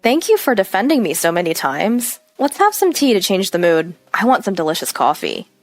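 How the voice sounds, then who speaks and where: A polite, peacemaking housewife in an audio story, acted by a woman narrator. Her manner is gentle and conciliatory, with lively intonation. The recording is dry, with faint music. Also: medium pitch, a little fast, dry recording. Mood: warm, polite. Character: polite, peacemaking older sister-in-law, a housewife.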